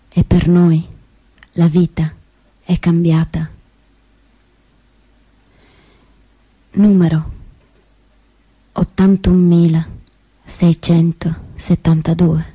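Casting: woman playing a resolute survivor, soft dark tone, husky edge, solemn measured stage monologue. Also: fairly low pitch, no room sound, low-quality recording. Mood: somber. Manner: solemn, deliberate, broken phrasing. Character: grave female Auschwitz survivor recalling deportation, resolute. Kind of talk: theatrical testimony monologue.

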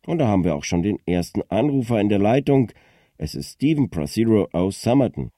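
An actor playing a formal, composed radio phone-in host who prompts and probes, in a radio drama. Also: male voice, fairly low pitch, expressive, a little fast, warm tone, no echo, clean studio sound. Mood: composed, pointed. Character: smooth, probing local radio phone-in host.

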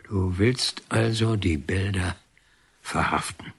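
An actor playing a defiant, bitter, sarcastic painter in a German radio drama. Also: male voice, low-pitched, slow, vocal fry, husky, full character voice. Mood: quietly defiant. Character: defiant, bitter male painter, banned by the regime.

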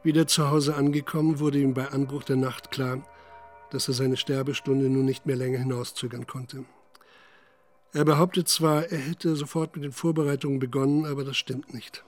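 A man narrating a literary radio drama in a sober, measured manner, with music behind him. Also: medium pitch, level tone.

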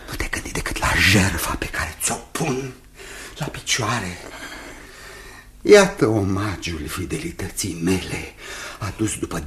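An actor in a radio drama playing a menacing man obsessed with love, theatrical and intense, shifting from hushed to fierce. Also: low-pitched, a little hiss or hum. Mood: fervent, pleading.

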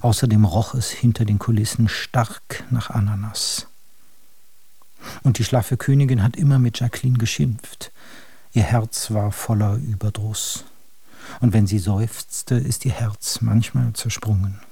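A man's voice: lowish voice, fairly even intonation, measured pace, vocal fry, warm tone, breathy edge, no echo, heavily acted. A man playing the grandiloquent storyteller of a grim, absurd fairy tale, narrating a scripted radio drama straight to the listeners. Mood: confiding, macabre. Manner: grandiloquent, addressing listeners directly.

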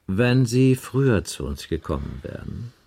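Give the part to a world-weary police inspector in a radio crime play. His voice is lowish and gravelly, with wide swings in pitch. He lays out grim facts bluntly and sardonically, with weighty pauses. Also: big pitch swings, warm tone, heavily acted. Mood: cynical. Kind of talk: 1980s German radio crime drama acting.

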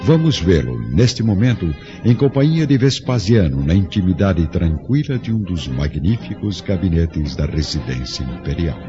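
A man's voice: low voice, sing-song, moderate pace, hardly any pauses. A man narrating a radio drama in solemn, grave, literary style. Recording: dull lo-fi radio drama recording. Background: clear background music.

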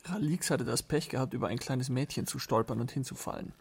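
A man's voice: mid-range voice, brisk, a little mellow, slightly rough. A man reading scripted literary narration in a radio play. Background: music.

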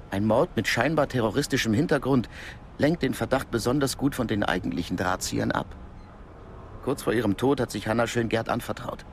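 Low-voiced, discreet intelligence man played by an actor. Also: a little hiss or hum, natural voice. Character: discreet male Cold War intelligence contact, calm and knowing. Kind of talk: naturalistic German radio drama acting.

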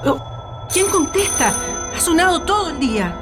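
A woman's voice: very high voice, sing-song, a little slow, exaggerated voice. A woman playing an alarmed, urgent militant comrade in a radio drama, over music. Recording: music behind the voice.

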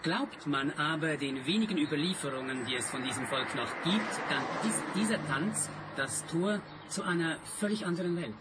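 A man's voice: fairly high pitch, animated, moderate pace, few pauses, heavy background noise, natural voice. A man playing an earnest, obsessive eccentric in a radio play, rapt and solemnly emphatic. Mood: rapt, fervent. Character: earnest, obsessive eccentric male seeker of mystical secrets.

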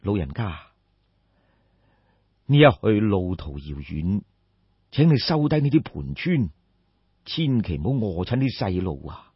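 A man's voice: on the low side, big pitch swings, a little slow, long pauses, breathy edge, dry recording, pitched below the performer's own voice, heavily acted. A man voicing a compassionate scholarly official in an audiobook story, courteous, earnest and concerned. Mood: kind, gentle. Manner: earnest questioning, polite address. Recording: dull, muffled lo-fi audio.

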